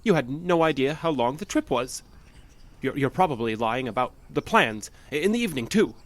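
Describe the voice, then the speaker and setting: An actor in an audio drama playing an obsessive, unstable male patient with a halting, nervous, insistent manner. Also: mid-range voice, lively intonation, natural voice. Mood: agitated, defensive. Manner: halting, nervous, insistent, stammering under pressure.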